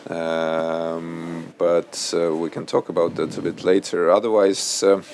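Low, gravelly man with a halting delivery. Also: little pitch movement, darkish tone, slight background noise. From Estonia. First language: Russian. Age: 30s. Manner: halting, many fillers and restarts. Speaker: panel speaker. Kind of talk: panel discussion. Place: event hall before an audience.

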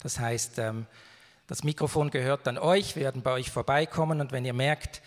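A man's voice: mid-range voice, moderate pace. Man moderating in a reverberant hall. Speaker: church moderator. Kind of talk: introducing and interviewing a guest.

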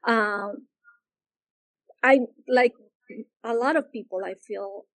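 A woman from Peru, a yoga teacher, answering interview questions slowly and with many pauses and fillers. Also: on the high side, a bit bright, no echo, poor audio. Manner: hesitant, searching for words, many fillers.

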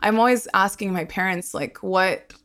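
A woman's voice: medium pitch, moderate pace, a bit bright. A young woman who hosts a podcast, talking easily about her life and laughing often. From Australia. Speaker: podcast host. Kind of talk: conversational interview.

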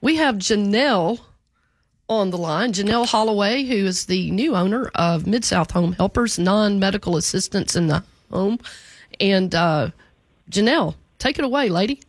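A man's voice: high-pitched, animated, moderate pace, dry recording. Friendly male radio talk host. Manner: friendly, encouraging interviewer. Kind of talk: radio talk show hosting.

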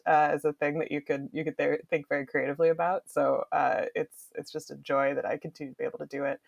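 A woman being interviewed as a podcast guest, talking casually and with enthusiasm, often halting to find her words. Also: low voice, creaky. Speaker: podcast guest, book marketing director.

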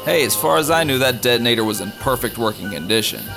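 A man's voice: mid-range voice, lively intonation, fast, vocal fry, over music. A man playing a cocky, flirtatious space smuggler in an audio drama, smug and teasing. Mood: glib.

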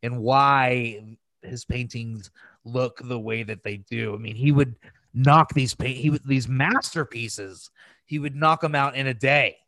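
A man's voice: mid-range voice, measured pace, a bit bright, dry recording, low-quality recording. A man hosting a podcast, chatting casually about films in a crass, emphatic and gushing way. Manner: crass, freely swearing. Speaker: podcast host. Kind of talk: casual film review chat.

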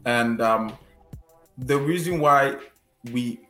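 A man's voice: mid-range voice, slow, pushed and bright. A man with a Ghanaian accent giving earnest, conversational advice as a member of a discussion panel. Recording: roomy echo, music behind.

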